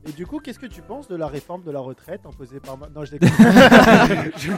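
A man's voice: a little high, big pitch swings, normal speed, a bit bright, faint music behind. A young man co-hosting a student radio show, throwing in teasing, provocative jokes. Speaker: co-host. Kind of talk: live radio banter.

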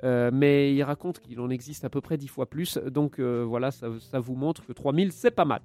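A man's voice: mid-range voice, normal speed, mellow, soft background music. A man hosting a casual radio-style chat, joking and chatty, raised with Italian alongside French. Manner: joking, chatty, drifts into asides. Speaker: podcast co-host.

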